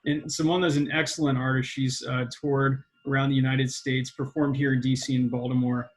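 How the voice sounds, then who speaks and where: A man's voice: medium pitch, flat delivery, a little fast. A man hosting an online panel discussion over a video call, upbeat and encouraging as he hands the floor around. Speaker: panel moderator.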